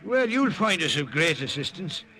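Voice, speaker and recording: A kindly Irish jungle priest in an old radio play. His voice sits a little high with a gravelly edge and a bright, forceful tone. He talks in an avuncular, hearty way. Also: big pitch swings, moderate pace, exaggerated voice, rough recording. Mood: genial. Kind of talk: old-time radio drama acting. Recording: vintage radio drama recording.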